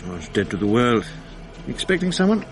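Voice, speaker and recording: An actor playing a bitter, sardonic middle-aged husband with a British accent in a radio drama, his voice husky. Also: medium pitch, sing-song, brisk, slight background noise, lightly acted. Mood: dry, weary. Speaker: lead actor and narrator.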